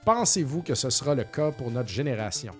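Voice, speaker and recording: A man's voice: medium pitch, big pitch swings, fairly quick, soft background music. A man in his forties with a Québécois French accent, hosting a casual podcast in a talkative, informal, slangy way. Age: 40s. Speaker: podcast host. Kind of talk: casual chat, reading listener questions.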